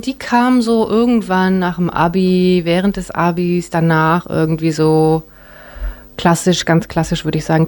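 A woman from Germany, an actress being interviewed, talking in a warm, rambling way full of fillers. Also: sits low. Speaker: actress guest. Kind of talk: casual interview chat.

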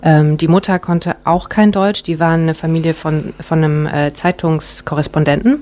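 A woman's voice: low-pitched, fairly even intonation, normal speed, a little mellow. A young woman, an actress, chatting as a guest in a radio interview, with many fillers and frequent laughter. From Germany, Bonn. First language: German and Japanese, bilingual.

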